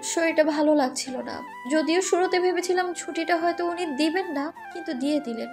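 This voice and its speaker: Woman as protesting young sister in audio drama, extremely high voice, faint music underneath. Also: brisk. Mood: subdued. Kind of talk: audio story acting.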